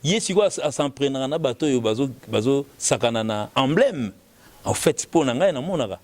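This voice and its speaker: A man's voice: medium pitch, animated, normal speed, darkish tone. A man with a Congolese accent talking casually and giving opinions, often repeating words as the argument builds. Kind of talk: casual opinionated talk mixing French and Lingala.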